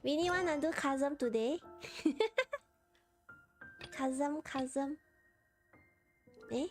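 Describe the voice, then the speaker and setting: A woman's voice: sits high, very slow. A woman with a Malaysian accent chatting casually on a game livestream, talking half to herself in short, repetitive fragments. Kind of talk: casual livestream chat while playing a game. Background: game music.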